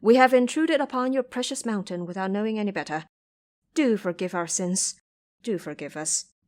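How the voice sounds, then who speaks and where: A woman's voice: medium pitch, moderate pace, close to an everyday voice. A woman playing an earnest male travelling monk, speaking formally and deferentially in an audio drama. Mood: apologetic. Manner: formal, deferential, gently scolding.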